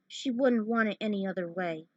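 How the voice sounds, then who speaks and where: A woman's voice: medium pitch, normal speed, darkish tone, lo-fi sound, natural voice. A woman voicing a strong, resolute, grieving hero in a fan fiction story, firm and terse. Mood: somber, resolved.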